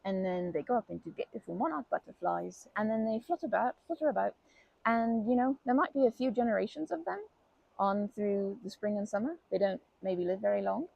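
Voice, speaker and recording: A woman voicing a kindly, chatty old grandma who rambles gently in a folksy, soothing way for an ASMR comfort roleplay. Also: mid-range voice, a little fast, dry recording, muffled recording. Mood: chatty, fond. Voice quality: creaky, breathy.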